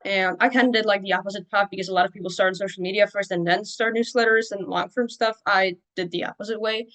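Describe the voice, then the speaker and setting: A young woman whose first language is Czech, speaking as a guest on a podcast. She talks loosely and casually, with many fillers and restarts, at a middle pitch. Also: steady tone, fast, a bit bright, rough recording. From Czech Republic. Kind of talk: casual interview talk.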